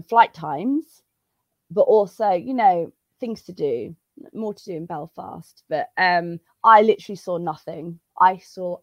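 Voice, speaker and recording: A woman, a jiu-jitsu competitor, chatting casually as an interview guest with many fillers and restarts; her voice is a little hoarse from a cold. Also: lowish voice, dry recording. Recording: dull, dry lo-fi sound.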